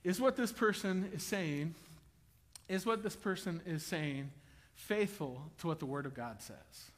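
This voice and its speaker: A man preaching a sermon in a church auditorium, chatty and joking with the congregation, then building to loud, emphatic bursts. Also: fairly high pitch, animated, a little slow, in a large hall. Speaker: pastor.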